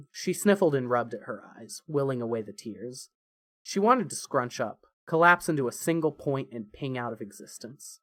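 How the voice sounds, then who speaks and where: A man narrates a scripted story in a mid-pitched voice. The recording is close and dry, with very little room sound. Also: fairly bright tone, no room sound.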